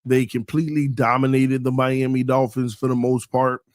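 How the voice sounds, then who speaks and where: Male sports host, solo live show, sing-song hype delivery, voice going hoarse, dry mic. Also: mid-range voice, level tone, moderate pace, dry recording. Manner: sing-song, hyped morning greetings. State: losing his voice.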